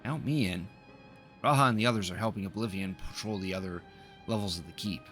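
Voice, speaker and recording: Male streamer, deep and forceful, casually narrating on-screen game dialogue, soft music underneath. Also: fast talker. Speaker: livestreamer playing a game. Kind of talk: reading game dialogue aloud with casual asides. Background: video game music.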